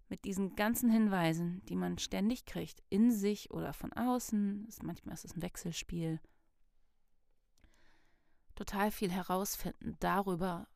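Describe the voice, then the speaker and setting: A woman's voice: lowish voice, vocal fry, a little mellow, dry recording, studio quality. A woman giving a casual self-help talk, reflective and thinking aloud with fillers, often acting out little inner dialogues.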